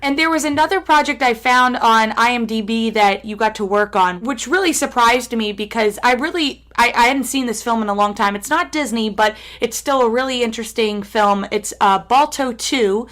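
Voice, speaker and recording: A woman's voice: on the high side, normal speed, hardly any pauses, fairly bright tone. A woman hosting a talk show, asking her guest questions in an admiring, enthusiastic way. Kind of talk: interview questions.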